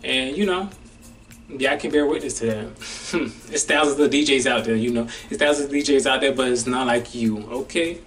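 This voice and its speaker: A man's voice: mid-range voice, moderate pace, distant mic, soft background music. A young man, a DJ, talking casually and animatedly to camera, calling his viewers y'all. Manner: animated, chatty, says y'all a lot. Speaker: DJ and vlogger.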